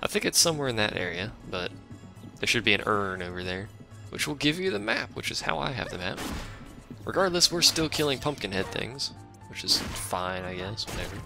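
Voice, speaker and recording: A man with a deep, gravelly voice and a bright edge, chatting loosely over a game he is playing. He rambles and shrugs things off, with self-mocking asides to his stream chat. Faint game music sits underneath. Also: big pitch swings, a little fast. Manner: rambling, offhand, self-deprecating; chats with a live stream chat. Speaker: let's play streamer. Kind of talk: casual gameplay commentary.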